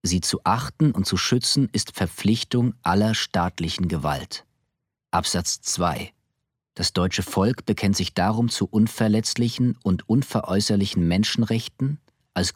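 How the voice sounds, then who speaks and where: A man giving a solemn, measured, formal reading of a legal text. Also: low voice, husky, no room sound, studio quality.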